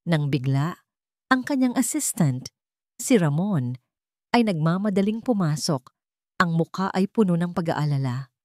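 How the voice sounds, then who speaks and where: A woman narrates a dramatic story in an unhurried, solemn manner. Her voice sits low for a woman, and she is recorded close to the microphone. The sound is dry, with no trace of room echo. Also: lively intonation, darkish tone.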